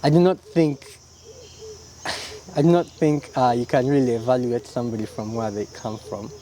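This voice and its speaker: Young man, mellow voice. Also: medium pitch. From Uganda. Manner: relaxed, reflective, many "yeah?" and "you know?" tags. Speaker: interview guest, illustrator. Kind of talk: casual interview answers.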